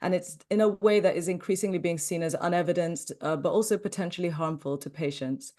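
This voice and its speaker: Woman panelist, fairly low voice, fluent, articulate. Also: a little fast, mellow. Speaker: researcher and panelist. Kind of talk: panel discussion.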